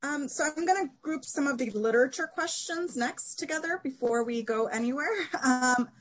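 A woman's voice: high voice, normal speed, rough recording. A woman who teaches Japanese literature, interviewing a guest and reading out questions with a few fillers.

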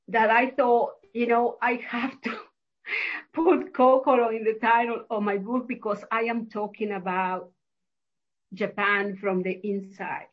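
A woman's voice: medium pitch, slightly rough, muffled recording. A woman from Mexico with a Mexican Spanish accent, a professor and author answering interview questions hesitantly, with many fillers and restarts.

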